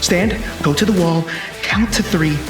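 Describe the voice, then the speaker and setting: An urgent, high-pitched time-traveling protector. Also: male voice, unhurried. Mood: urgent, commanding. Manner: commanding, terse, insistent. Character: urgent male time-traveling protector from the future, commanding and cocky. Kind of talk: action sci-fi film acting. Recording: roomy sound with music behind.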